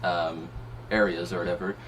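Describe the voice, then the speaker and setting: A man's voice: deep voice, little pitch movement, normal speed, a bit bright, a little hiss or hum. A young man explaining a class experiment in a loose way, with fillers. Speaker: student presenter.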